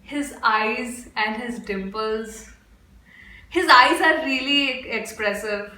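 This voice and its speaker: Bright, high-voiced young Indian woman. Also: slow, a little hiss or hum. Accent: Indian English. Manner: gushing, exclamatory, chatty with fillers. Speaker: reaction video host. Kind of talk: casual reaction vlog. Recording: echoey room with faint background music.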